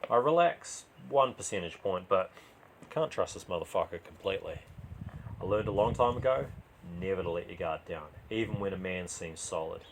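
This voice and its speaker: A man's voice: low-pitched, lively intonation, moderate pace, slight background noise. A man reading a first-person crime story as a dramatised narration.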